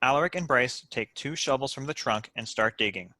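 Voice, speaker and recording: A man's voice: mid-range voice, expressive, a little fast, pushed and bright, no room sound. A man reading out stage directions as the narrator of a scripted table read.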